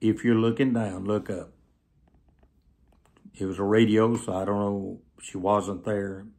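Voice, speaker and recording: A man in his seventies preaching through personal stories, speaking earnestly to camera with emphatic, drawn-out phrases. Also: lowish voice, fairly even intonation, a little mellow. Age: 70s. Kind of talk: sermon storytelling told to camera. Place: small plain indoor room, seated.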